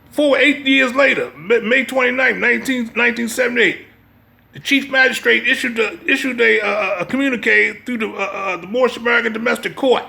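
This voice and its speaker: A man with a gravelly voice giving an informal religious lecture, part reading and part commentary, emphatic and repeating his phrases to press the point. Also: very high-pitched, sharp tone. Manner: emphatic, repeats phrases, checks listener's understanding. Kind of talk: informal religious lecture mixing read-aloud passages and commentary.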